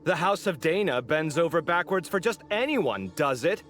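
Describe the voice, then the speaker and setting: A man's voice: on the high side, lively intonation, moderate pace, a bit bright, faint music behind. A man voicing a dramatic young architect in a video game, easily riled and sarcastic. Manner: theatrical, sarcastic. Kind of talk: video game character dialogue.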